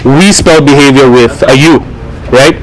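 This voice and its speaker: A young man from Kenya who grew up in Kenya, talking to a high school class in a joking way, laughing often. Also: a little slow, poor audio. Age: young adult. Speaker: student speaker at a school. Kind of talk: classroom talk.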